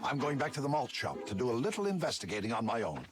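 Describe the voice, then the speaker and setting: A man playing a dignified, kindly father and ruler in a children's cartoon, speaking earnestly in measured, formal phrases. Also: mid-range voice, sing-song, natural voice. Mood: reassuring, composed. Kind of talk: animated series voice acting. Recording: music under the voice.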